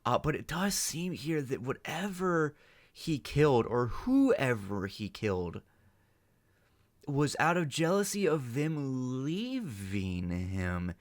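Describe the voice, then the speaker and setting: Man giving halting off-the-cuff commentary. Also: medium pitch. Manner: halting, speculative, mild swearing. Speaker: host of a reaction channel.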